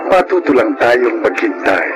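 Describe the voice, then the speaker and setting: A male announcer reads formal radio drama narration. His delivery is deliberate and dramatic, with big swings in pitch, over a clear music bed. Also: big pitch swings, poor audio.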